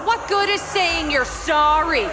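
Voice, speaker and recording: An actress playing an angry accuser in a video game, harsh and blaming in manner. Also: female voice, extremely high pitch, measured pace, loud music behind the voice. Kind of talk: dramatic video game voice acting.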